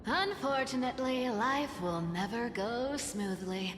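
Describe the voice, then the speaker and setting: A woman voicing a cool, grim female messenger in a video game, deliberate and grave. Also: fairly high pitch, expressive, a bit bright. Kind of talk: scripted game dialogue. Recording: echoey hall, noisy game ambience.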